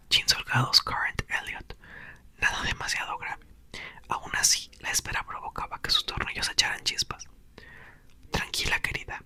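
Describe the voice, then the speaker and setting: A man reads a book aloud in a hushed ASMR whisper, close to the microphone. His unvoiced whisper sounds high and bright, and it swoops up and down with a lot of movement. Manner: soft, slow, breathy whisper throughout. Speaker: ASMR reader.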